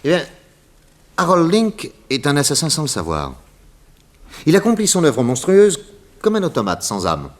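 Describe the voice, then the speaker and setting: An actor playing a confident detective boss in a 1960s French radio serial, explaining in an emphatic, didactic, theatrical way with dramatic pauses. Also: male voice, fairly high pitch, wildly swooping pitch. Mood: emphatic, explanatory. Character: confident male detective boss explaining crimes to his assistant.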